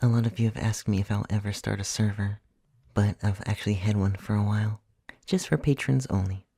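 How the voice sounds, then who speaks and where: Male ASMR creator, casual chat, soft and whispery, mellow, breathy, close dry sound. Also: lowish voice, steady tone, a little fast, no echo. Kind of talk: casual soft-spoken ASMR channel plug.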